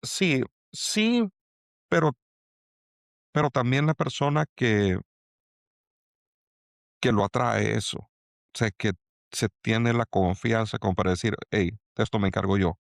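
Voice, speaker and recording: A middle-aged man from El Salvador with a Salvadoran accent, speaking as a guest in an interview and pausing to restart his thoughts. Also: on the low side, lively intonation, creaky, no room sound, clean studio sound. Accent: Salvadoran Spanish. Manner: halting, many restarts and fillers. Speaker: angel investor guest. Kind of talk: reflective interview talk.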